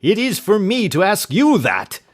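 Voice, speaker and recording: A man's voice: sits high, wildly swooping pitch, measured pace, pitched above the performer's own voice, exaggerated voice. A man voicing a fat, burly villain who shrieks in furious outbursts. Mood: raging.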